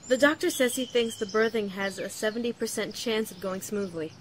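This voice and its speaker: A young woman playing a weary student and mother-to-be, gently and earnestly reading out a letter in an audio drama. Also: medium pitch, fairly quick, slight background noise, natural voice. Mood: resigned, tender. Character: weary pregnant young student writing to her father. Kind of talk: letter read aloud in horror audio drama.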